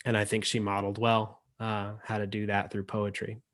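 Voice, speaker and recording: A man hosting a poetry reading over a video call, speaking in a halting, reflective way. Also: fairly low pitch, monotone, fairly bright tone. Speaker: emcee and editor.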